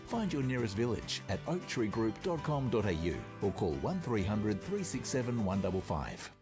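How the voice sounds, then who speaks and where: A man's voice: on the low side, very animated, moderate pace. A man reading a scripted radio advertisement. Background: music.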